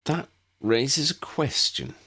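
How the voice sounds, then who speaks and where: A man's voice: medium pitch, big pitch swings, takes it slowly, sharp tone, breathy edge, voice pushed up, full character voice. A man voicing an earnest, sensible young woman witch with a precise, insistent manner in an audiobook. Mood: helpful.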